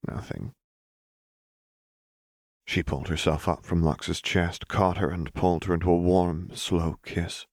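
Male audiobook narrator, deep register, measured dramatic storytelling, dry studio-style sound. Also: flat delivery, darkish tone, dry recording. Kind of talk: scripted dramatic fiction narration.

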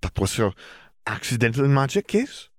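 A man's voice: mid-range voice, very animated, normal speed, dry recording, clean studio sound, pitched above the performer's own voice, lightly acted. A man voicing a hesitant, worried father who stumbles into his questions. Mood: puzzled.

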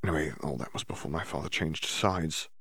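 A man voicing an earnest teenage wizard boy who explains things quickly and sometimes lowers his voice. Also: creaky, breathy edge, dry recording, exaggerated voice. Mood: hushed. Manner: fast, hushed, hesitant at times.

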